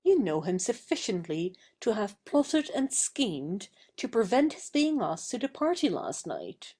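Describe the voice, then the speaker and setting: Female actor for passionate, defiant young woman in stage satire; mellow tone, swooping intonation. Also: mid-range voice, big pitch swings, unhurried, breathy edge. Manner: passionate, exasperated, emphatic. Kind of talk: acted stage satire in audio.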